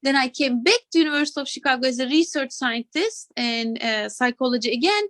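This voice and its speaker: A woman's voice: sits high, expressive, fairly quick, dry recording, clean studio sound. A woman from Turkey, an assistant professor in her thirties, talking casually as an interview guest and often adding "right?". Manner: chatty, adds "right?" and soft laughs.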